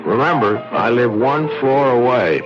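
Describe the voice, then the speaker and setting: A man's voice: mid-range voice, lively intonation, unhurried, loud music behind the voice, lightly acted. An actor playing a friendly, persistent American barman and neighbour in a 1970s radio drama, casual and solicitous. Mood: friendly, concerned. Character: friendly, persistent male neighbour who tends bar. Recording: muffled 1970s radio broadcast recording.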